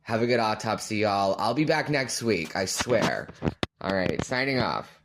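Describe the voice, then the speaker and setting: Male podcast listener, slightly low register, informal recorded voice submission. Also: lively intonation, a little slow, background noise. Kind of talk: casual recorded voice message.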